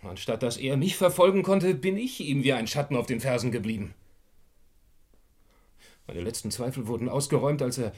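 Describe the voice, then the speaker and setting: An actor playing a cornered gangster in a German radio crime drama, bitter and defensive, with terse speech and dramatic emphasis. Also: male voice, mid-range voice, normal speed, pauses often, a little mellow, lightly acted. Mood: grim confession.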